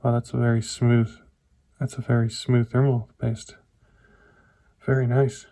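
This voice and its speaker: A man vlogger talking casually through a hands-on computer build, often pausing and rewording his explanations as he goes. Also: mid-range voice, flat delivery, warm tone. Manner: informal, halting, self-correcting explanations. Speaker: tech vlogger.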